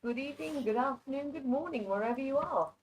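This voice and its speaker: A woman's voice: sits high, quick pace. A woman with a mixed English and Norwegian accent giving informal live psychic readings in a chatty, direct, reassuring way. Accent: mixed English with Norwegian influence. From born in England, grew up largely in Norway. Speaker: psychic card reader, guest presenter. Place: online video call. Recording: muffled, soft online microphone.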